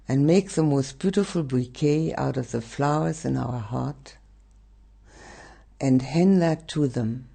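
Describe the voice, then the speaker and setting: A man leading a guided meditation as a teacher, speaking gently and soothingly with long pauses. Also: medium pitch, gravelly, breathy edge.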